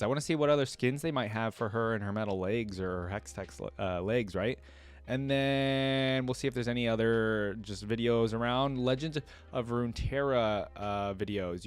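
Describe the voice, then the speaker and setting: A man hosting a reaction video, giving informal, enthusiastic fan commentary in a casual chatty style. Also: fairly low pitch. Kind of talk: casual fan commentary alternating with story read-aloud.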